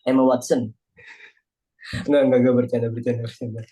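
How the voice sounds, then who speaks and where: Chatty young Indonesian man, mellow tone. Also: medium pitch, flat delivery, a little fast, muffled recording. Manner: chatty, self-deprecating, jokey. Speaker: class participant. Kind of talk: casual group discussion. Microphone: video call.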